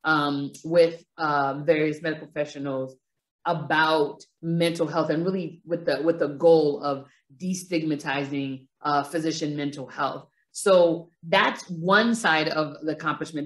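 A woman's voice: sits low, steady tone, a little slow. A woman with a Caribbean accent hosting a podcast from a small room over a video call, talking with many fillers and restarts. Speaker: podcast host. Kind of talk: podcast introduction and interview. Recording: roomy echo.

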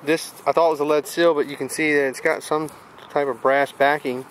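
A man with a fairly high voice talks casually to a handheld camera outdoors. He is jokey and repeats himself. The audio is rough, with clear outdoor noise around him. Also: steady tone, fairly quick, fairly bright tone. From US South. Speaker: vlog host, relic hunter. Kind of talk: casual handheld vlog chat. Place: open farm field outdoors. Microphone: handheld camera microphone. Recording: noisy windy outdoor camcorder audio.

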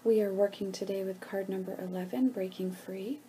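A woman leading a guided meditation in a gentle, hushed, soothing manner with pauses between phrases. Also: mid-range voice, steady tone, slight background noise.

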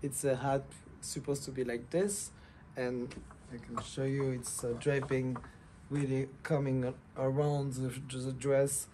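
A man's voice: medium pitch, little pitch movement, unhurried, background noise. A young man with a French accent explaining things casually as a designer in a showroom, phrasing his English hesitantly but with enthusiasm. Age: young adult. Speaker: fashion designer presenting a collection.

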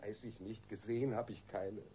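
An actor playing a guilt-ridden, weak-willed husband in a radio crime drama, halting and defensive. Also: male voice, fairly low pitch, fairly even intonation, mellow, slight background noise, lightly acted, low-quality recording. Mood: agitated, anxious.

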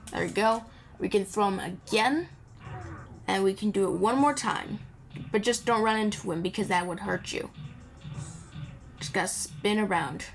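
A woman giving casual, improvised gameplay commentary in a playful, chatty way. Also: mid-range voice. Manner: chatty, playful, mumbles asides to the game character. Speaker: gamer hosting a playthrough. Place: indoor voice-over recorded over game audio. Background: video game music.

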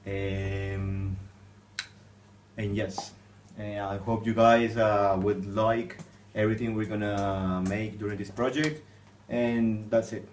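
Young man, low and friendly. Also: level tone, slow, darkish tone. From Colombia. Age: young adult. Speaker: student volunteer photographer. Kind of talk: casual self-introduction. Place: small office with green walls. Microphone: far camera. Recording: distant camera, faint hiss.

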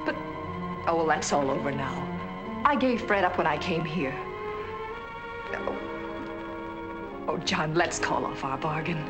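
An actress playing a lonely young wife who feels trapped, in emotional 1940s film style. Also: female voice, low-pitched, takes it slowly, long pauses. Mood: pleading. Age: young adult. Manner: emotional, pleading. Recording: 1940s film soundtrack with music.

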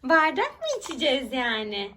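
A woman's voice: high voice, very animated, moderate pace, sharp tone, slight background noise. A woman chatting casually and playfully with her small son as his mother, coaxing and encouraging him with simple questions. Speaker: mother playing pretend with her young son.

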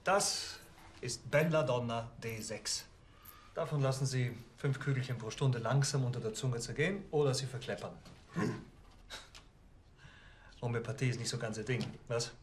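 Ein Schauspieler spielt einen plaudernden, selbstzufriedenen Heilpraktiker mittleren Alters in einer Krimikomödie, der mit neckenden Nachfragen redet. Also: male voice, mid-range voice, normal speed, pauses often, slight background noise, close to an everyday voice. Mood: breezy. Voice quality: chatty, cajoling, with teasing tag questions. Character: chatty male healer, middle-aged, breezy and smug. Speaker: alternative healer. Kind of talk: German TV crime comedy audio version.

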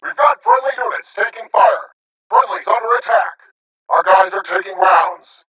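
A confident robot sniper from a video game, pitched high with a bright, forceful edge. He barks clipped, military-style orders with urgency and discipline. The sound is echoey. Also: huge pitch swings, normal speed, distant mic, dull audio, exaggerated voice. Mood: urgent. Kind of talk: military radio-style combat callouts.

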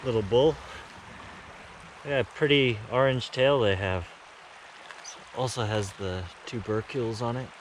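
A man in his forties hosting a casual fishing vlog outdoors by a creek, chatting excitedly about his catches into an action camera. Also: mid-range voice. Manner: excited, upbeat commentary on catches. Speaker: fishing channel host. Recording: outdoor camera audio with loud stream noise. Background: rushing creek water.

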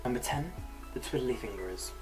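A man's voice: medium pitch, lively intonation, fairly quick. A young man hosting a comedic numbered list to camera in a small bedroom. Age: young adult. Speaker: video host. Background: music.

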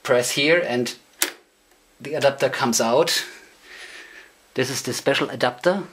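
Male, German accent, native German speaker. Casual demonstrator, hesitant and self-conscious, mid-pitched, on noisy amateur camera audio. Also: unhurried, fairly bright tone. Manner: hesitant, self-conscious, searching for English words. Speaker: hobbyist demonstrator. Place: indoor room, beside tape machines. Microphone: camera microphone.

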